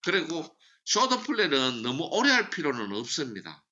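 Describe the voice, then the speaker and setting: Guy giving a pumped-up sales seminar, super forceful tense voice, pitch jumps all over, kinda muffled lo-fi audio. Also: huge pitch swings, moderate pace. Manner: emphatic, drills slogans and repeats key phrases. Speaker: seminar speaker for a sales business. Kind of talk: motivational sales training lecture.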